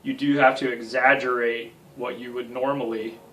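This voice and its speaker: A man's voice: mid-range voice, little pitch movement, normal speed, pushed and bright, distant mic, low-quality recording. An American man in his thirties giving a casual guitar tutorial with self-deprecating, joking asides. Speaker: guitar instructor. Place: small home music room.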